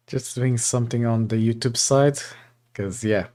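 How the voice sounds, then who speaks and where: A man from Portugal hosting a live study stream, chatting casually with viewers and reading course text aloud with a relaxed, chatty manner. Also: medium pitch. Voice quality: relaxed, chatty, often laughing, greets chat by name.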